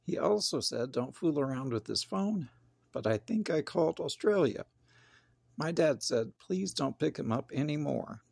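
An animated narrator reads a children's picture book aloud in the person of a grumpy young boy. The voice is heavily creaky and mid-pitched, with dramatic, emphatic phrasing. The sound is close and dry. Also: moderate pace, dry recording. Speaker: storybook narrator. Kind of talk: expressive children's storybook read-aloud.

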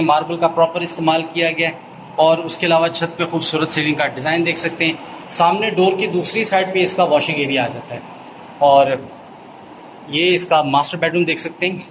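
A man with a Pakistani Urdu accent gives a real estate house tour as a YouTube host, in steady salesman patter with stock phrases. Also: on the high side, fairly quick, background noise. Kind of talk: walkthrough narration. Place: inside an empty new house.

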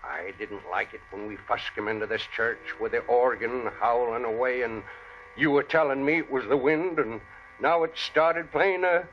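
An actor playing a superstitious, fretful old American cowboy sidekick in a radio western, folksy, stammering and flustered. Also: male voice, medium pitch, moderate pace, natural voice. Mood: spooked, exasperated. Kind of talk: 1940s-50s radio western acting. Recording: dull, noisy vintage radio recording.

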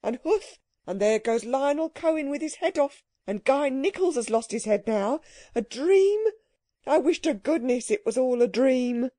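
A woman's voice: high-pitched, expressive, measured pace, dark tone, dry recording, studio quality, pitched above the performer's own voice. A woman voicing a jittery, aggrieved male office clerk, fretful and exclamatory, in a storybook reading. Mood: panicked.

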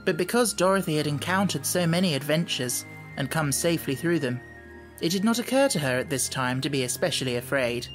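A man's voice: fairly high pitch, animated, a little fast, soft background music, low-quality recording. A man reading scripted storybook narration as an audiobook narrator.

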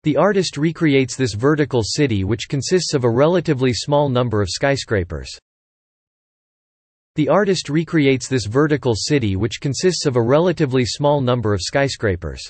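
A man reads English vocabulary and example sentences for learners, careful and deliberate, saying each line twice. His voice is a little husky and moves up and down a lot between phrases. The sound is dry and close, with no room in it. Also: medium pitch, lively intonation, darkish tone, dry recording. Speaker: English word reader. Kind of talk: slow repeated vocabulary reading.

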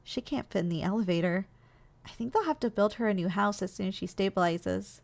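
A woman playing a doting, gentle giantess secretary in an ASMR roleplay, speaking in a caring, softly teasing, slightly hesitant way. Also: medium pitch, a little fast, gravelly, lightly acted. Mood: curious, playful.

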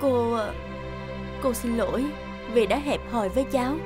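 A woman playing a sharp-tongued but caring young mother who minds a repair shop, in an expressive animated cartoon dub. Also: on the high side, moderate pace, close to an everyday voice. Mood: apologetic. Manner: expressive, scolding or fussing. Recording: music behind the voice.

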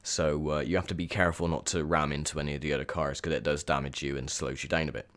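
Deep-voiced man, croaky throat. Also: steady tone, quick pace, a bit bright. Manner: chatty, rambling with many ums. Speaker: gaming video host. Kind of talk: casual gameplay commentary.

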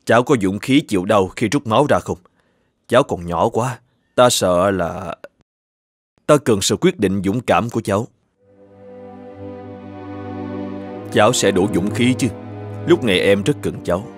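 A man voicing a serious, considerate hospital doctor in a cartoon, speaking in a grave, measured, formal way. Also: lowish voice, animated, music in the background, close to an everyday voice. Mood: concerned. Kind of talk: animated children's story dubbing.

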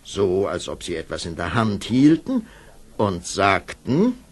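A man narrating a scripted fairy tale as an expressive storyteller. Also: deep voice, slow pace, slight background noise.

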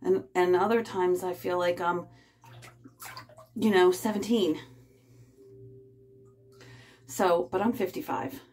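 Low-voiced woman in her fifties, rambling. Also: fairly even intonation, darkish tone, a little hiss or hum. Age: 50s. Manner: rambling, halting, many fillers. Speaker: vlogger. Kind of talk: casual personal vlog. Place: small bathroom, in a bathtub. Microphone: phone held close.

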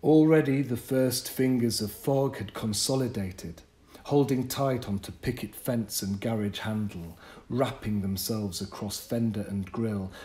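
A man reading a literary short story as an audiobook, with measured, deliberate phrasing and pauses. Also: fairly low pitch, mellow. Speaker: audiobook narrator. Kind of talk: scripted literary story narration.

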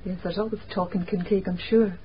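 An actress playing an earnest, troubled young Scottish niece in a radio drama. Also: female voice, mid-range voice, fairly even intonation, fairly quick, natural voice. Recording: muffled radio drama, faint noise.

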